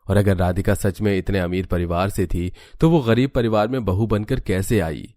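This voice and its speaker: Low-voiced man narrating a story. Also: normal speed, mellow, no echo, studio quality. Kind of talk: scripted storytelling narration for Hindi cartoon.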